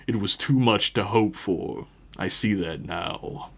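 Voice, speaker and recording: A man voicing a huge, calm, menacing cult reverend for a tabletop horror game, with a deep guttural voice and solemn, measured delivery. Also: gravelly, breathy edge, heavily acted. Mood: cold disappointment. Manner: slow, measured, solemn preaching.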